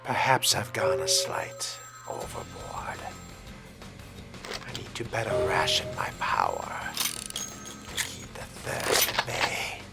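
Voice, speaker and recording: Male actor as brooding vampire fledgling, cold and arrogant, deep voice swinging from murmur to command, loud music underneath. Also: huge pitch swings, vocal fry, exaggerated voice. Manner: slow, theatrical, formal, brooding. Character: menacing power-hungry fledgling male vampire, coldly arrogant. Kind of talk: dramatic role-play monologue over a video game.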